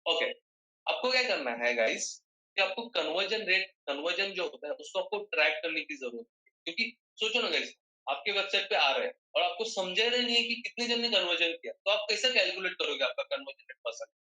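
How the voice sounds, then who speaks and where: An Indian man's voice, very bright and forceful, a little high for a man, in a roomy, echoing space. He teaches like an online trainer, repeating key phrases. He keeps turning to his listeners to check that they understand. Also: lively intonation, fast. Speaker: online digital marketing trainer. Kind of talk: live online lesson, mixing Hindi and English.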